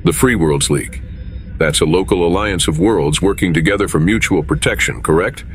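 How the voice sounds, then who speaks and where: A man acting in an audio drama as a calm, principled starship captain, measured and authoritative, with rumble behind him. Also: faint music behind, close to an everyday voice. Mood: composed, firm. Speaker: lead actor playing a starship captain.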